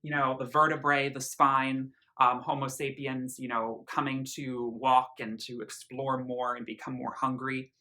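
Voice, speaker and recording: A man's voice: mid-range voice, flat delivery, a little slow, few pauses, sharp tone. A man giving a casual, effusive music review, speaking earnestly and leaning on emphasized words. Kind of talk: casual album review commentary with lyrics read aloud.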